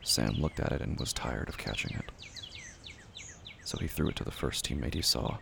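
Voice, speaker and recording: A man reading scripted literary horror narration in a brooding, deliberate way, often dropping to a hush. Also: extremely low pitch, gravelly, background noise.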